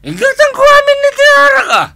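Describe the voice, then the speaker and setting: An actor playing a drunken, belligerent grown son with slurred speech in a radio drama. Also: male voice, very high voice, fairly quick, vocal fry, pushed and bright, exaggerated voice. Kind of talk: Ilocano radio drama acting. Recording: dramatic studio radio production.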